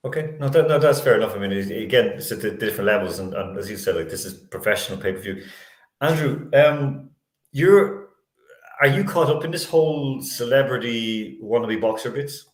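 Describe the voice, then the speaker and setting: A man hosting a sports panel discussion, speaking in halting, stop-start phrases with repeated words. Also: medium pitch, expressive. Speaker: sports show host. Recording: roomy, echoey sound.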